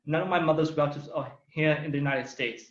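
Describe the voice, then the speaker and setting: A young man whose first language is Thai gives an earnest, emphatic personal testimony, slowing down to stress key words. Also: on the high side. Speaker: community speaker. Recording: roomy, dull lo-fi recording.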